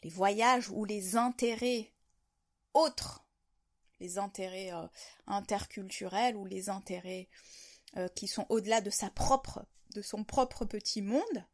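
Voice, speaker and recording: Slow, thoughtful French-speaking woman. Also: medium pitch. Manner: reflective, rephrasing ideas as she goes. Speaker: video host sharing language-learning reflections. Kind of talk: unscripted monologue to camera.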